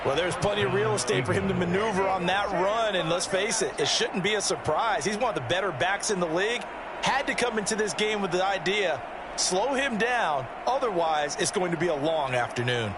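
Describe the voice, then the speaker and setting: A folksy American football analyst in a video game booth. His voice is high for a man, with an American accent and an explanatory manner. Very loud noise sits behind him. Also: animated, a little fast. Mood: analytical, upbeat. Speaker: color commentator and analyst.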